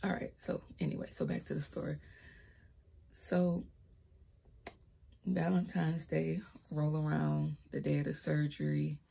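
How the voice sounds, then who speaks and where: A young woman with a low, raspy voice tells her own story in a casual vlog. She talks hesitantly, trips over her words and drops into soft whispered asides, and her voice cracks when she raises it. She records on a laptop mic. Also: flat delivery, a little slow, long pauses. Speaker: vlogger telling her life story. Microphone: laptop built-in mic.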